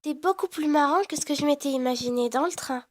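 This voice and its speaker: A woman playing a polite, modest, shy teenage schoolgirl in an anime fan dub, with a gentle manner. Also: high voice, fast talker, lightly acted. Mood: gentle, apologetic.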